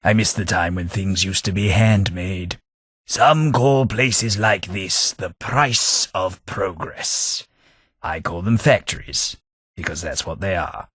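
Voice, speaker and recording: Casting: mild, wry English gentleman for a game, low-pitched, slow, slightly husky, dry booth. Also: dry recording, exaggerated voice. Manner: mild, self-deprecating, drily humorous. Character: gentle hapless posh English gentleman, a former clerk. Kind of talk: game character voice lines.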